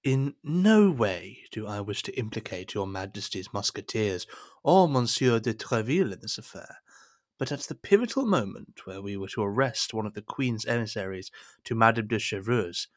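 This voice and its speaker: A man's voice: fairly low pitch, expressive, normal speed, lightly acted. An actor playing a scheming cardinal in an audio drama, formal and insinuating in his speech. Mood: grave, insinuating. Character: smooth, scheming cardinal and royal adviser.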